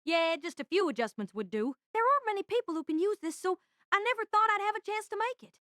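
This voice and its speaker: A reader putting on a squeaky, chattering young inventor. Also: lively intonation, quick pace, sharp tone, no room sound, studio quality, heavily acted. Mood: eager. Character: excitable young male alchemy student and inventor.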